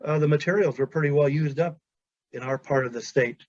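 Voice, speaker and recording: A male chaplain whose first language is Pennsylvania Dutch, giving a measured, earnest webinar talk with pauses and restarts. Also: a little high, a little mellow, no echo. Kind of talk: webinar presentation. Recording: dull, dry lo-fi audio.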